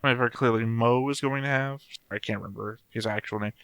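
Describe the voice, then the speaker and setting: A man's voice: mid-range voice, fairly even intonation, fast talker, fairly bright tone, clean tone, dry recording, faint music behind. A man giving casual reaction commentary as a host, thinking aloud bluntly and swearing freely. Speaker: host of an anime reaction show.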